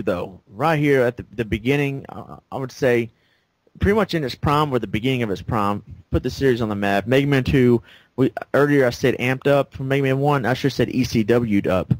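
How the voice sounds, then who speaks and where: A man's voice: mid-range voice, dry recording, rough recording. A man co-hosting a casual game review chat, rambling with lots of fillers and laughing often. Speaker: co-host of a game review show. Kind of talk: casual gaming discussion.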